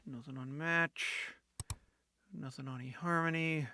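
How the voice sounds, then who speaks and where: A man's voice: a little high, steady tone, measured pace, bright, forceful tone, slight background noise. An actor playing an ordinary, lonely young man in an audio drama, earnest and a little hesitant. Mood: gloomy, sardonic. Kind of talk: audio drama dialogue and first-person narration.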